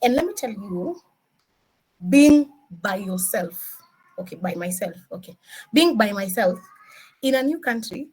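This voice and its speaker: A woman with a Kenyan accent giving an informal motivational career talk as a senior engineer, mixing in Swahili with animated, emphatic rhetorical questions. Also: mid-range voice, unhurried, long pauses, husky, low-quality recording. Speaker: senior DevOps engineer, guest speaker.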